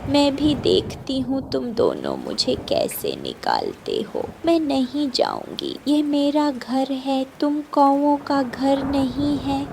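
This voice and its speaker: Old cartoon granny bird, scornful, stubborn, creaky, wildly swooping pitch, background noise, acted by a woman. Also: very animated, slow, hardly any pauses, darkish tone, full character voice. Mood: indignant. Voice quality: creaky elderly voice.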